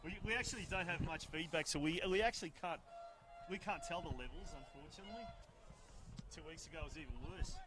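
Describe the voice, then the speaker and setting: Booming, excitable high-voiced commentator. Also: male voice, fast talker. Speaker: football commentator. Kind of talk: live football commentary. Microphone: handheld mic held at arm's length.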